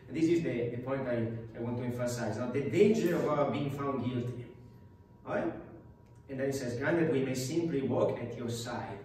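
A middle-aged priest speaks from the front of a classroom, circling back and repeating words as he searches for phrasing. He sits at a middle pitch and is picked up from across the room. The sound is very echoey, with a faint hum behind it. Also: distant mic. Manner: halting, many restarts and tag questions. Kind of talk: parish talk on prayer. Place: parish classroom. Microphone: far camera.

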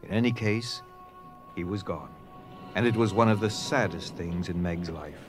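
A man's voice: low voice, normal speed, music in the background. A man reading scripted narration for an audio drama, telling the story dramatically.

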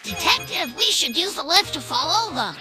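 A man's voice: very high-pitched, big pitch swings, moderate pace, music in the background. A man voicing an eager, earnest young junior detective sidekick in a video game cartoon style.

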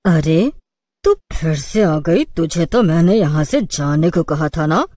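A woman's voice: deep voice, expressive, takes it slowly, dark tone, no echo, heavily acted. A woman voicing a sly, menacing female witch in a cartoon story, gloating and taunting.

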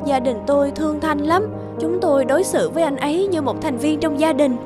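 Woman acting a high-voiced, caring young woman. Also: fairly quick, loud music behind the voice, lightly acted. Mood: sad, earnest. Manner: earnest, gentle storytelling. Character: caring, earnest young woman, devoted to her friend. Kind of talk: animated drama acting.

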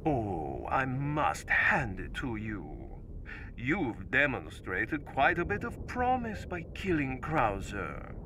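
Smug, slow-talking cult leader villain. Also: male voice, mid-range voice, sing-song, background noise. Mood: smug menace. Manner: pompous, theatrical, slow and mocking. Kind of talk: video game villain acting.

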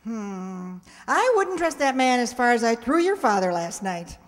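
A woman's voice: fairly high pitch, sing-song, unhurried. An older woman playing a bossy, exasperated, sardonic mother in an old-time radio comedy. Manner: scolding, sardonic. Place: amateur stage reading in a hall. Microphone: stand microphone. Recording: echoey stage in a large hall.

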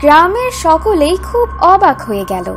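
A woman's voice: very high voice, big pitch swings, moderate pace, slight background noise. A woman narrating a scripted fairy tale in a dramatic storytelling style.

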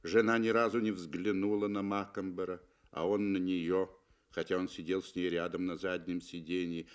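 Male narrator, lowish voice, unhurried. Theatrical Soviet radio-play storytelling, deliberate, weighty pauses. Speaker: actor reading a story.

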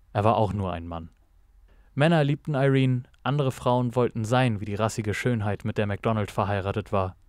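A man narrating a scripted noir audio drama in a measured, dramatic storytelling manner. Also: medium pitch, darkish tone, dry recording, studio quality.

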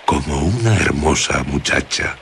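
Very deep, gravelly, ominous storyteller. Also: male voice, animated, fairly bright tone, slight background noise, full character voice. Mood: insistent, coaxing. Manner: theatrical, deliberate, suspenseful pauses. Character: ominous, insistent male storyteller coaxing out dark secrets. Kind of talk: dramatic radio narration.

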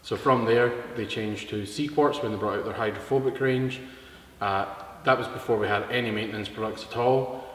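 A Scottish man in his thirties, a guest explaining things in a relaxed, matter-of-fact way in a casual interview. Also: lowish voice, moderate pace. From Scotland. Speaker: guest, product distributor. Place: large indoor car showroom. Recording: echoey hall, faint room noise.

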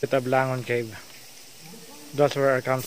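A man's voice: medium pitch, flat delivery, moderate pace, a little hiss or hum. Filipino man guiding a cave tour. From Philippines. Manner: coaxing, mixing English and Tagalog. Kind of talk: guided tour narration. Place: inside a large cave.

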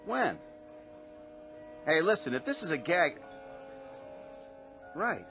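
An actor playing a down-on-his-luck former pitcher in a radio drama, humble and eager. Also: sing-song, takes it slowly, full character voice. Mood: plain. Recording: radio play with music underneath.

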